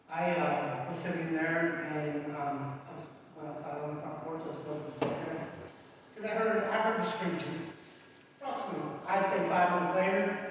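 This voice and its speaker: A man from East Palestine recounts his own experience at a public meeting, in plain, halting speech full of ums. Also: a little high, slight background noise. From East Palestine, Ohio. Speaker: resident speaking at a public meeting. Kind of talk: personal account at a meeting. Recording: roomy hall, distant mic, muffled.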